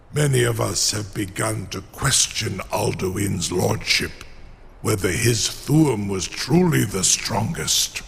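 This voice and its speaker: An actor playing a huge, ancient, proud and cunning male dragon in a video game, speaking in a grandiose, deliberate, formal manner. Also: fairly low pitch, creaky, heavily acted. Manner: slow, grandiose, deliberate speech peppered with an invented tongue. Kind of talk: video game character dialogue. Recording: long cavernous reverb, faint noise.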